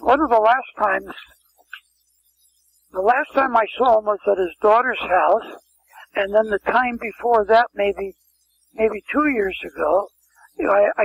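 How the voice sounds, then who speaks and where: Older male interview guest, high gravelly husky voice, rambling anecdotes, muffled lo-fi line. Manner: rambling anecdotal, frequent restarts and asides. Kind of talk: casual storytelling interview. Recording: muffled lo-fi phone-quality sound.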